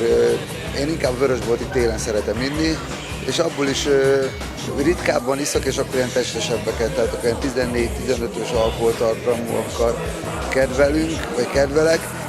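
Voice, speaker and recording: A middle-aged man giving casual interview answers in a modest way, often correcting himself as he goes. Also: medium pitch. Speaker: band musician, interviewee. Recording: loud music behind, outdoor festival. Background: loud music, vehicle noise.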